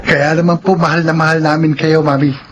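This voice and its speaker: Young Filipino man, played by an actor, high-pitched, blunt and conversational son, radio drama, faint noise. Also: little pitch movement, fairly quick, muffled recording, natural voice. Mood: respectful, easygoing. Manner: frank, conversational. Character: blunt, candid adopted son, young student.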